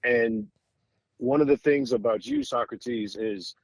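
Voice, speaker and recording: A man's voice: medium pitch, unhurried, dry recording. An American man hosting a podcast interview in a casual, conversational style, with meandering and admiring questions. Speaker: podcast host interviewing guests.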